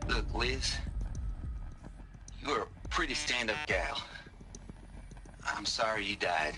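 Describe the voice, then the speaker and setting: An actor playing a wry, blunt, irreverent project colleague in a video game. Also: male voice, fairly low pitch, expressive, slow pace, pushed and bright, faint music behind, heavily acted. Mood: wry, mournful.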